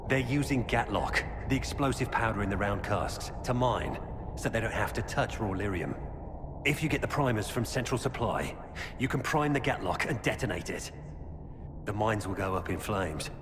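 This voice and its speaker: An actor playing a grim former Templar turned Qunari informant in a video game, speaking in a grave, measured, earnest way. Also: male voice, fairly low pitch, lively intonation, natural voice. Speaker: game character. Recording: game audio with long reverb and ambient noise.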